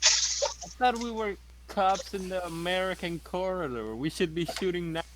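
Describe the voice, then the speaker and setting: A male gamer chats in character during a role-playing session. His voice is a little high for a man. He is blunt and swears freely. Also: moderate pace, very noisy. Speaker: tabletop game player. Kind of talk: in-character casual game chat.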